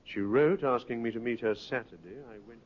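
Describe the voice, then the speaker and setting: An actor playing a courteous, businesslike police inspector in an old radio drama, speaking in a clipped, polite way. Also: male voice, medium pitch, a little fast, close to an everyday voice. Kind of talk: 1950s radio drama acting. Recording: muffled old radio recording.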